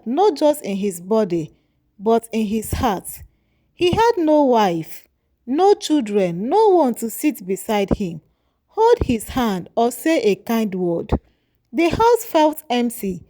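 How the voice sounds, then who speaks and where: Slow, lilting woman storyteller. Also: a little high, warm tone. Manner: measured, moralizing storytelling. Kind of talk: scripted folktale narration.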